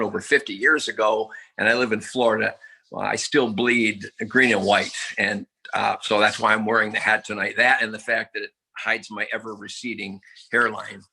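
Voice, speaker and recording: A man in his seventies giving a humble, halting acceptance speech over a video call, with many fillers and self-deprecating jokes. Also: lowish voice, creaky, pushed and bright, slightly rough, poor audio. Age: 70s.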